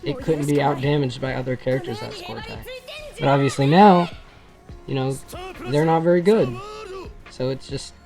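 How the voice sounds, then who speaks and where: Gaming creator, mid-pitched voice, informal and rambling showcase commentary, music underneath. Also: moderate pace, creaky, pauses often. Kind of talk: casual gameplay commentary.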